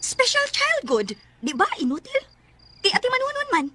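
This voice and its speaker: A woman's voice: very high voice, very animated, normal speed, bright, forceful tone, a little hiss or hum, full character voice. A woman acting in a Filipino Bisaya radio drama as a weary, resentful wife who scornfully complains. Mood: exasperated, scornful. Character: weary, bitter, resentful wife rejecting her stepson.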